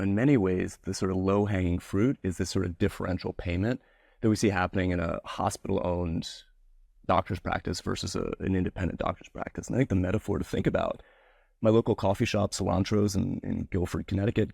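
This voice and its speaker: A man on a video call, a little hoarse from a cold, speaking in an animated, conversational way about health costs. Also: sits low, dry recording, studio quality. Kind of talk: policy webinar discussion.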